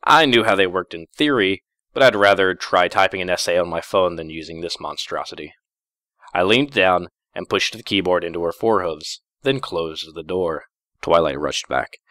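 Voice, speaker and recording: A man narrating a first-person story in a measured, deliberate, dramatic reading style. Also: low voice, pushed and bright, no room sound, clean studio sound.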